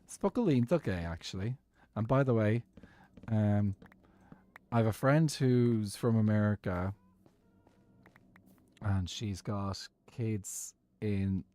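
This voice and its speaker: A man's voice: fairly low pitch, takes it slowly, long pauses, mellow. A man giving easygoing, musing commentary while he plays a game as a streamer. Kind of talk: casual gaming commentary.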